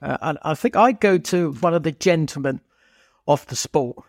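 A man's voice: fairly high pitch, normal speed, no room sound, clean studio sound. A man co-hosting a casual, conversational chat about motorsport. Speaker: podcast co-host.

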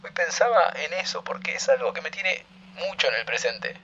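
A man's voice: high-pitched, very animated, a little fast, vocal fry, sharp tone, slight background noise, heavily acted. Lo-fi, sentimental Argentine man. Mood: wistful, apologetic. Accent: Argentine, Rioplatense. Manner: confiding, sentimental. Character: sentimental man leaving a heartfelt message for his best friend. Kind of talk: intimate recorded-message monologue in audio fiction. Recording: slightly dull, lo-fi recorded message.

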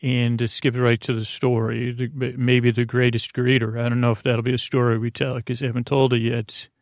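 Male podcast host for sleep show: creaky voice, dry close sound, meandering and digressive delivery. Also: medium pitch, level tone, no echo. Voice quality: creaky, gravelly. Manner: meandering, digressive, halting, circling back on itself. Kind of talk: rambling bedtime story podcast monologue.

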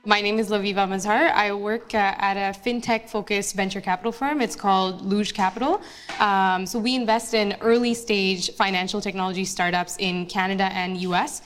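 A woman's voice: medium pitch, fairly even intonation, normal speed, soft background music. A young woman from Bangladesh speaking as a guest in an onstage interview, talking casually with many fillers and restarts. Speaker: guest speaker, investment analyst.

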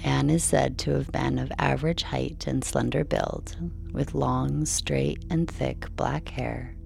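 A woman reading a scripted bedtime story in a slow, measured, soothing delivery. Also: extremely low pitch, steady tone, creaky. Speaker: sleep story narrator. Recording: soft music behind.

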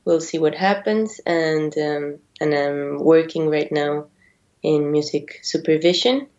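A woman's voice: deep voice, steady tone, slow, darkish tone. A young woman from Argentina raised in Mexico, a native Spanish speaker, speaking English in an interview with many fillers and restarts. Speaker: guest. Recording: dull lo-fi audio.

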